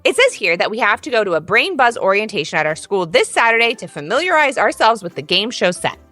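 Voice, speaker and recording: A woman's voice: medium pitch, sing-song, quick pace, pushed and bright, soft background music. A woman voicing an eager, gushing young girl who loves trivia, in a children's story.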